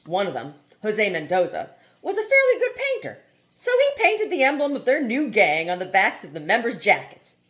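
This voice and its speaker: A woman reading a novel aloud, pausing between chapters for relaxed, chatty comments. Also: mid-range voice. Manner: expressive reading, laughs at funny passages. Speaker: audiobook reader and channel host.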